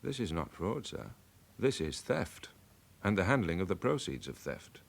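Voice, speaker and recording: An actor playing a polite but relentless British police inspector, measured and insistent, in a radio crime drama. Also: male voice, low-pitched, sing-song, gravelly, full character voice. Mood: politely insistent.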